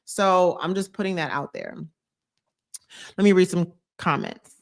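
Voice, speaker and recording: A woman's voice: lowish voice, huge pitch swings, unhurried, vocal fry. A young woman from New Jersey, a YouTube creator, chatting on a live stream and reading comments, emphatic and repeating her advice. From United States, New Jersey.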